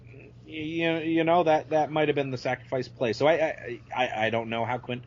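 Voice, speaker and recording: Hesitant male podcast guest, medium pitch. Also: fairly even intonation, normal speed. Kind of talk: casual film discussion. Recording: slightly muffled audio.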